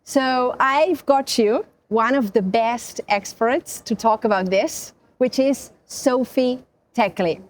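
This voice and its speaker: Woman podcast host, slightly high voice, gushing and enthusiastic. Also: takes it slowly. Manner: enthusiastic, effusive. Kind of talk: conversational interview.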